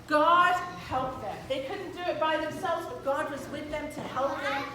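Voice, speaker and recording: A woman with a high voice tells a children's story in a very echoey hall. She explains things simply and informally, some distance from the mic, with audience sounds around her. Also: unhurried, crowd noise. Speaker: narrator of children's puppet show.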